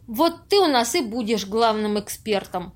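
A woman's voice: fairly high pitch, animated, normal speed, close to an everyday voice. A woman acting an earnest, anxious and strict character in an amateur audio play, speaking in a fretful, reproving way. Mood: worried.

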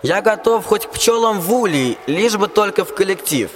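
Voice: mid-range voice, lively intonation, normal speed, lightly acted. A performer playing a weary, ancient invisible spirit in a rhymed radio play, with plaintive folksy declamation. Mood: eager. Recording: reverberant, faint ambient noise behind.

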